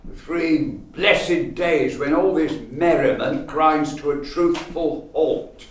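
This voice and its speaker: Old miserly businessman, radio drama: gleefully greedy, theatrical and emphatic, slow-paced, distant from the mic. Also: on the high side, a little hiss or hum. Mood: gloating, gleeful. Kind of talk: radio play acting. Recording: echoey room, distant microphone.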